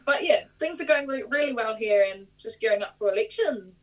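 A woman's voice: fairly high pitch, expressive, brisk. Upbeat New Zealand woman, mic at a distance. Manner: upbeat and laughing easily. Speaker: rugby player guest. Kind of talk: remote interview.

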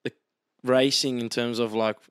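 A man's voice: medium pitch, level tone, moderate pace, fairly bright tone, clean tone, no room sound, clean studio sound. A man co-hosting a casual sports podcast, easygoing, often trailing off mid-sentence. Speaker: podcast co-host. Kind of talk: casual chat.

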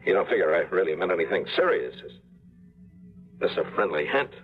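An actor playing a scheming, aging and tired treasure hunter in a 1950s radio drama, talking in a wheedling, sly drawl. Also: male voice, low-pitched, expressive, brisk, faint music behind. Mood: menacing. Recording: lo-fi old radio broadcast.

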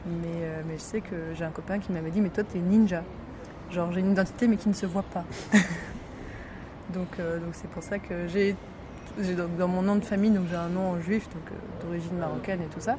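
A woman's voice: lowish voice, steady tone, a little fast. A young woman who grew up in Morocco, co-hosting a casual chat and rambling with lots of fillers. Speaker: podcast co-host. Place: outdoor terrace. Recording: street noise outdoors.

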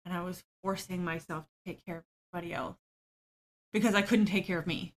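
A woman's voice: lowish voice, fairly even intonation, quick pace. A woman, a podcast guest who talks openly about her own life, often with small laughs and ums. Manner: open and self-deprecating, laughs easily, frequent ums. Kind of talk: candid personal storytelling.

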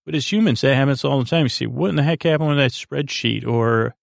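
A tired man hosting a sleep podcast, giving a meandering, halting bedtime monologue in which he often repeats words. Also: medium pitch, vocal fry, no echo, clean studio sound. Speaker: podcast host. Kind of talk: rambling bedtime monologue to help listeners sleep.

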